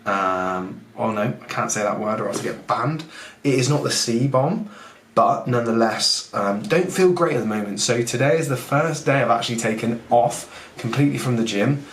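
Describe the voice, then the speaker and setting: A young man with a husky, fading voice, a fitness coach hosting a casual podcast who rambles, mocks himself and talks straight to the listener. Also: mid-range voice, normal speed. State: husky, losing his voice. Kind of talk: casual podcast talk, teaching. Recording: echoey room with faint background noise.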